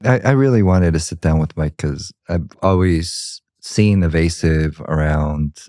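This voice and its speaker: A man co-hosting a podcast, chatting casually and asking curious questions with relaxed slang. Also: low-pitched, unhurried, no echo, studio quality. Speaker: podcast co-host. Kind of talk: casual interview chat.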